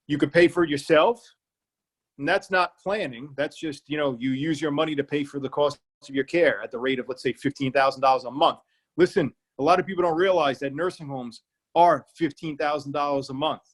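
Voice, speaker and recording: A man with an Irish accent and a slightly high voice speaks as an elder law attorney at a seminar. He is plain-spoken and comes back to key points again and again to drive them home. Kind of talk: public library seminar talk. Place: remote online presentation.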